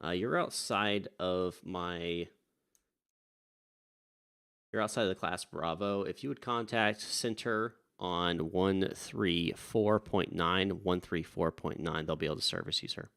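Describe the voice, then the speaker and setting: Man, very deep voice, gravelly, virtual tower controller, casual stream chat, polite radio calls. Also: a little slow, a bit bright. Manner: chatty, easily distracted, wanders off mid-thought; polite, calls pilots sir.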